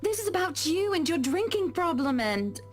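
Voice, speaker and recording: A woman playing a high-voiced exasperated wife. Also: very animated, moderate pace. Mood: firm. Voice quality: exasperated, pointed. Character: frustrated young wife. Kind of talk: voicing a visual novel character.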